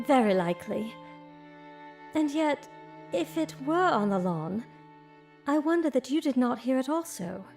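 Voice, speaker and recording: An actress playing an uneasy young bride-to-be in an audio drama, speaking in a formal, theatrical way. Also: female voice, sits high, expressive, unhurried, vocal fry, long pauses, a little mellow, soft background music, full character voice. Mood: uneasy, wondering.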